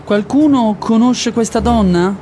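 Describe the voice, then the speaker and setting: A woman voicing a composed police commissioner in a short scripted radio drama, speaking in a measured, authoritative way. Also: fairly high pitch, mellow, faint music behind, natural voice. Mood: firm.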